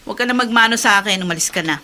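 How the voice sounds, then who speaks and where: An actress playing a bitter, ill-tempered wife with a sharp, scolding manner in a Filipino radio drama. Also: female voice, medium pitch, racing, slight background noise, lightly acted. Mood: curt irritated. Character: bitter, ill-tempered, ambitious wife and reluctant foster mother.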